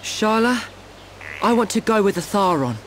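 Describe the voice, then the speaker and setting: High-voiced plucky young boy. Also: animated, normal speed, a little hiss or hum. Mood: eager, admiring. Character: plucky, modest young boy eager to help. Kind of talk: video game cutscene acting.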